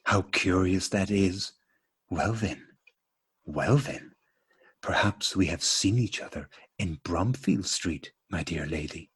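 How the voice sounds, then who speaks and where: Casting: male actor for bemused, very proper husband in absurdist radio drama. Husky, unhurried delivery, formal and repetitive. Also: deep voice, exaggerated voice. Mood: polite puzzlement. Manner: formal, deliberate, repetitive politeness. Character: courteous, bemused married man piecing together a coincidence. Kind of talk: absurdist stage dialogue played as radio drama.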